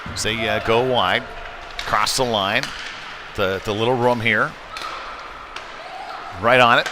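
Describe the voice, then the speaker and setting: A man doing live hockey play-by-play in halting, off-the-cuff phrases, as the announcer on a game stream. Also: on the low side. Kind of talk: live sports commentary. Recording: noisy live rink stream.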